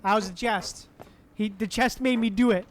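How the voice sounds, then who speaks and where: A woman's voice: medium pitch, normal speed, slight background noise. A woman giving casual, joking live commentary as a game streamer, laid-back and loose with swearing. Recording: game music behind the voice. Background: game music.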